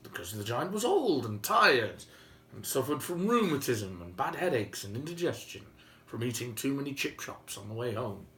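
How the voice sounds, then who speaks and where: A man telling a story informally and whimsically, with dramatic pauses and emphasis. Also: medium pitch, big pitch swings, pushed and bright. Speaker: storyteller and video host. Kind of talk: informal improvised oral storytelling. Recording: roomy, faint background noise.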